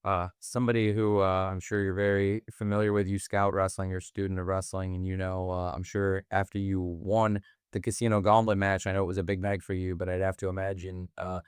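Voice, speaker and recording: A man's voice: low voice, level tone, fairly quick, clear, resonant tone, no echo, clean studio sound. A man putting formal, congratulatory questions to a wrestler at a press conference. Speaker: company interviewer.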